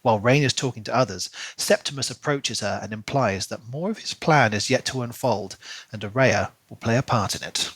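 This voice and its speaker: A man's voice: mid-range voice, a little fast, a bit bright, husky, no room sound, low-quality recording. A man co-hosting a book podcast, recapping and discussing a novel. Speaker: podcast co-host. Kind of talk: book discussion podcast, reading a plot recap then casual chat.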